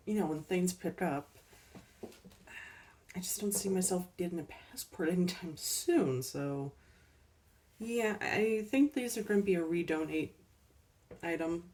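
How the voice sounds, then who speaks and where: A woman casually showing off her thrift store finds, unhurried and chatty with ums and little laughs, her voice a bit worn as she is losing it. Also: lowish voice, a little mellow, distant mic. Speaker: collecting channel host. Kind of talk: casual thrift haul chat.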